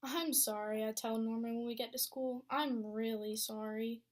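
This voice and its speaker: Young female student teacher, expressive picture-book reader, slightly high voice. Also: normal speed. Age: young adult. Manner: expressive storytelling with teacher questions. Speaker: student teacher reading aloud. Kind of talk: picture book read-aloud to a class.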